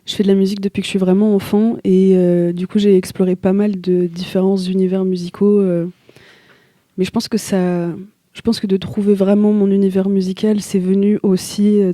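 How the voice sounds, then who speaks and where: A young woman from Italy, a singer, chats loosely in an interview. Her voice is very dark and mellow, with a flat, even melody. The sound is close and dry. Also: medium pitch, fairly quick, no room sound. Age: young adult. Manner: relaxed, slangy, searching for words. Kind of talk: casual interview chat.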